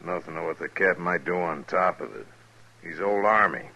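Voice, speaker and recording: An actor playing a rough, earnest American cavalry soldier in a 1950s radio western, with a rustic way of talking. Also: male voice, low-pitched, normal speed, pushed and bright, highs cut off, full character voice. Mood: resigned, worried. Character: rough, contrite young cavalry soldier, loyal to his friend.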